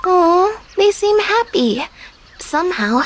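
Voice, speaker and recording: Tiny, squeaky childlike cookie. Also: female voice, very animated, slow pace, heavily acted. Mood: wondering. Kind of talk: animated game cutscene voice acting.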